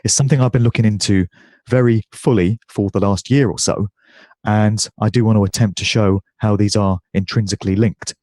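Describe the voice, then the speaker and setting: A man giving a talk as a guest speaker, congested and croaky but speaking fluently over a video call. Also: on the low side, fairly even intonation, a little fast, a little mellow, dry recording. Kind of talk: presentation.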